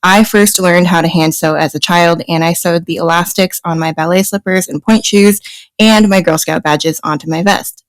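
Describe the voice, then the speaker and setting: Podcast co-host, young woman from New Jersey, friendly and loose, low-ish pitch, dry recording. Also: fairly even intonation, moderate pace, dry recording. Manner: friendly, reads intro script then chats with fillers. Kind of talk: casual podcast chat.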